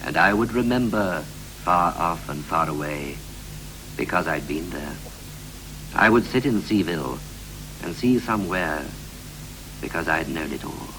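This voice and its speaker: An actor playing a wistful married man reflecting on his life in a poetic 1960s radio play, with a measured, lyrical delivery. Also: sits low, expressive. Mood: wistful, reflective. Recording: hissy, humming 1960s radio recording.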